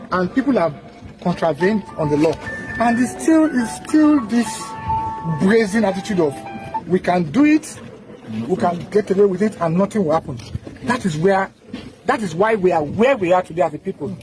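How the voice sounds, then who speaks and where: A man's voice: high-pitched, poor audio. A Nigerian man, a government official, speaking firmly and sternly in a lecturing way.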